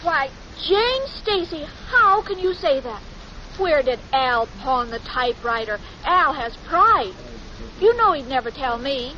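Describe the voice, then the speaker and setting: A woman's voice: high voice, sing-song, measured pace. An actress with an American accent playing a sweet, naive, scatterbrained young woman in a 1940s radio sitcom, with dim, muddled earnestness. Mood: earnest. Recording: noisy, muffled 1940s radio recording.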